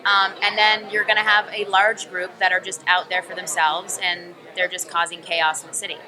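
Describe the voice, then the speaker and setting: A woman's voice: fairly low pitch, little pitch movement, moderate pace, over loud music, poor audio. A young woman actor answering press questions in a thoughtful, rambling way with many ums. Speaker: actor being interviewed. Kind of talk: press room interview answers. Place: busy convention press room. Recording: crowd chatter behind.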